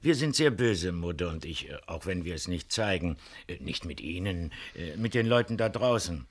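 An actor playing a stolid older farmer in a radio play, speaking plainly and haltingly with a weight of guilt. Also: male voice, low voice, lively intonation, husky. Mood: aggrieved, earnest. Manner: deliberate, halting, plain-spoken. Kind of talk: 1970s German radio play acting.

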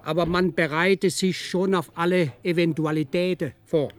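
High-voiced excitable actor as southern German villager. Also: male voice, moderate pace, natural voice. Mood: urgent, confiding. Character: excitable, insistent rural man passing on overheard news. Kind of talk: German radio drama acting.

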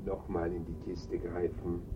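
An actor playing a cocky, sly small-time thief with a Neapolitan Italian accent, teasing and theatrical in manner. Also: male voice, sits low, level tone, a little slow, a little hiss or hum. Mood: impatient. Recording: dull vintage radio recording, music behind.

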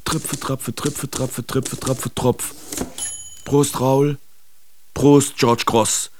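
An actor playing a brash, mocking male Dadaist artist, reciting theatrically in an expressive radio drama. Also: medium pitch, very slow, slight background noise. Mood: drunken reverie.

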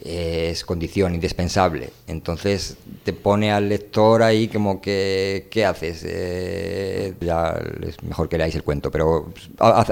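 Low-voiced man, halting casual radio talk. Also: creaky, darkish tone. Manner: hesitant, halting. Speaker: radio show host.

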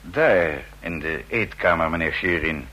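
A deep-voiced, formal butler. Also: male voice, bright, forceful tone, muffled recording, slight background noise. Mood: formal, polite. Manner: formal, polite, deliberate. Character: formal, watchful male house servant. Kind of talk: vintage radio drama acting.

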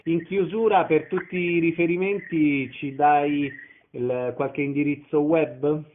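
A man's voice: fairly high pitch, fairly even intonation, slow, phone-quality sound, heavy background noise. A man hosting a radio interview, with orderly, announcer-like phrasing as he introduces and questions his guest.

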